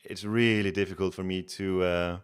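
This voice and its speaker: A man's voice: low-pitched, moderate pace, clean tone. A man from Denmark with a Danish accent, chatting casually with viewers on a live stream and coaching a game player. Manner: relaxed, chatty, restarts words often.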